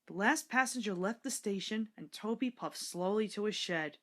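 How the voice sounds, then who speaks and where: A woman's voice: mid-range voice, normal speed, a bit bright. A woman reading a scripted children's story aloud as narrator.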